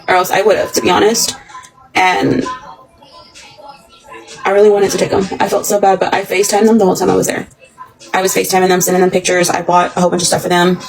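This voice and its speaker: A woman in her thirties talking casually on a livestream, rambling and halting. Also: on the low side, poor audio. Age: 30s.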